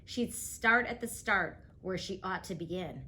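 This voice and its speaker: A woman reading a rhyming picture book aloud as a guest reader, with a rhythmic, expressive delivery. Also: fairly low pitch, a little slow.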